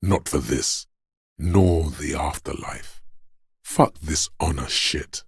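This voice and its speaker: A gravelly, menacing voice of fear. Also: male voice, dry recording, studio quality, full character voice. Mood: seething rage. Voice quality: gravelly, husky, very deep. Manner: ominous, slow, deliberate delivery. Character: menacing inner voice of primal fear and rage. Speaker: video game voice actor. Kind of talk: dramatic second-person game narration.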